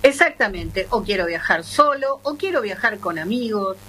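A woman's voice: mid-range voice, lively intonation, normal speed, sharp tone, a little hiss or hum. A woman with an Argentine accent giving a travel column on a radio show, talking in an upbeat, promotional way. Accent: Argentine Spanish. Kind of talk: casual radio chat.

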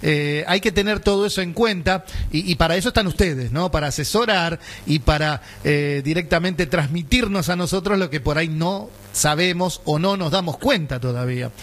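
An Argentine man hosts a radio segment in a high voice, chatty and loose. He leans on fillers and keeps asking "right?" as he talks things through. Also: normal speed, few pauses, a bit bright. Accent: Argentine Spanish. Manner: chatty, many fillers and tag questions. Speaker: radio host. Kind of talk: casual radio chat.

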